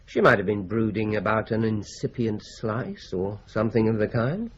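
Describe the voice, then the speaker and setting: A man's voice: on the low side, lively intonation, normal speed, husky. An actor playing a polite but persistent male police inspector questioning people in a radio drama. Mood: probing. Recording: dull lo-fi broadcast recording.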